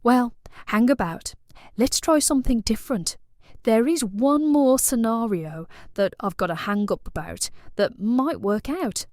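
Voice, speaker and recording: A woman's voice: fairly high pitch, expressive, unhurried, a little mellow, no room sound, clean studio sound. An actress playing a bored, randy, blunt British suburban woman in an audio play, talking in a colloquial, cajoling way.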